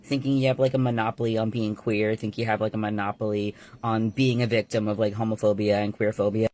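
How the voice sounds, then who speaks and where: A man's voice: lowish voice, flat delivery, quick pace, darkish tone. A man ranting scornfully in a casual social media video, with many fillers.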